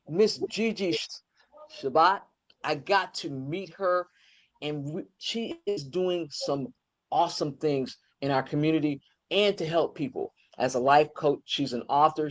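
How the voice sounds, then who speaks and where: An older woman hosts an interview. She chats with lively enthusiasm and an easy, conversational flow. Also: sits low. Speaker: host.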